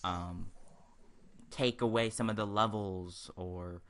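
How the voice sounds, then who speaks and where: A man with a fairly low but bright, forceful voice hosts a casual game walkthrough. He rambles as he comments, hesitating and repeating himself as he works toward each point. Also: fairly even intonation, slow. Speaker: gaming video host.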